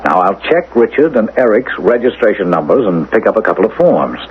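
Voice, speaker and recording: A man's voice: fairly low pitch, sing-song, brisk. An actor playing a determined, persuasive doctor and father in an old-time radio drama, scheming to save his son. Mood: decisive. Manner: urgent, persuasive, reassuring. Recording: tinny, band-limited, hissy old radio recording.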